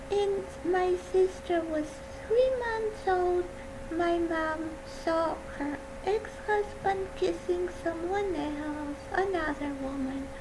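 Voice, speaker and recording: A woman with a soft voice giving a gentle, earnest personal talk on mental health. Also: very high-pitched. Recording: steady background hum.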